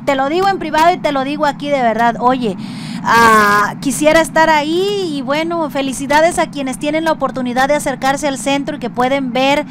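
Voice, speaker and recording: A woman's voice: high-pitched, normal speed, noisy background. A woman hosting a conversational radio interview, speaking effusively and often repeating her words. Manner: effusive, hesitant phrasing with frequent repetition. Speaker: radio show host.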